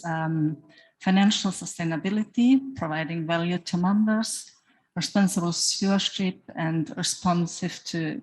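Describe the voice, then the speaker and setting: A woman whose first language is German reads out a treasurer's report at a general meeting. Her voice sits on the lower side and her delivery is measured and careful, with a few restarts. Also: a little mellow, poor audio. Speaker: association treasurer. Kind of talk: formal report reading.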